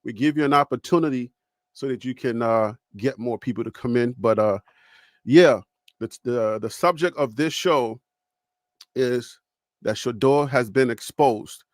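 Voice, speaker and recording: A man's voice: medium pitch, measured pace, dry recording. A man with a Liberian accent hosting a live sports talk stream from his home studio, talking straight to his viewers in a loose storytelling way. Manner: storytelling, chatty with audience. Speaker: host.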